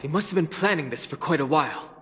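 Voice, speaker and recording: Calm, measured man, in long reverb. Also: on the high side, a little hiss or hum. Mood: calm, focused. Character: calm, thoughtful male ally on a prison mission. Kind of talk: English video game voice acting. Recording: long reverb, faint music behind.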